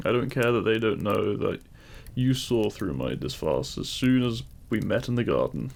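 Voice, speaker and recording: Demon king romantic lead, male actor: very heavy vocal fry, tender and teasing, courtly wording, intimate ASMR roleplay delivery. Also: fairly low pitch, darkish tone, a little hiss or hum, heavily acted. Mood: earnest, adoring. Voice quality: gravelly. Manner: tender, formal, courtly phrasing.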